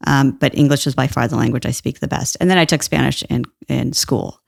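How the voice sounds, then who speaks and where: Middle-aged female guest, heavy vocal fry, thoughtful and relaxed, close dry mic. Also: a bass voice, a little fast, no room sound. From Brazil. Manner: thoughtful, warm, laughs easily. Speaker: guest, employment lawyer. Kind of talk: casual interview talk.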